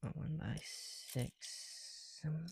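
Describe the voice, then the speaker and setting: A soft-spoken man chatting casually in an ASMR style, often dropping into whispers, with a creaky voice and a rambling, halting delivery, close to the mic. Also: a little high. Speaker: ASMR video creator. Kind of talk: casual ASMR chat while building bricks.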